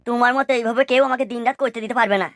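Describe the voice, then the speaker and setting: Woman acting rapid-talking eager cartoon young man. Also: fairly high pitch, steady tone, no room sound, studio quality, lightly acted. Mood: pleading. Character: eager, devoted young male admirer of married woman. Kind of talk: cartoon comedy dialogue. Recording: clear music under the voice. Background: music.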